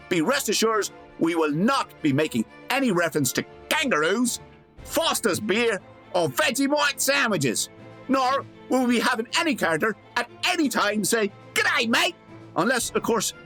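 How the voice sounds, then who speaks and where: A man's voice: high-pitched, a bit bright. A man playing a chatty, wry old-time radio announcer, theatrical and pompous, given to tongue-in-cheek asides. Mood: wry, jovial. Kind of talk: theatrical scripted radio narration with comic asides.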